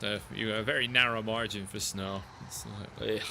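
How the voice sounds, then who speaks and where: Male game streamer, relaxed chatter, gravelly edge, engine noise behind. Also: fairly low pitch, brisk. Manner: relaxed, chatty, answers chat between driving comments. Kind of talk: casual live-stream chat while playing.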